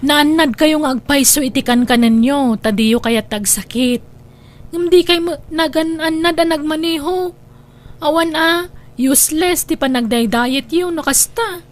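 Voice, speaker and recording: Woman acting high voiced ilocano daughter, fussing and admonishing her old dad, homemade drama. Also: a little slow. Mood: scolding. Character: fretful, scolding grown daughter of old father. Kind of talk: amateur comedy drama acting.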